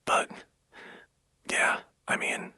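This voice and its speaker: A man speaking in a breathy, unvoiced whisper, rambling casually and hesitantly in a soft ASMR-like monologue. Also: very slow, no room sound, studio quality.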